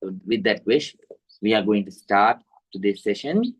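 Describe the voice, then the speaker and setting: A man with a Sri Lankan accent, a Buddhist monk teaching meditation to an online group in a halting, deliberate way. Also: lowish voice, animated, husky. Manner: halting, deliberate, repetitive phrasing. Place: village temple in Sri Lanka, over video call.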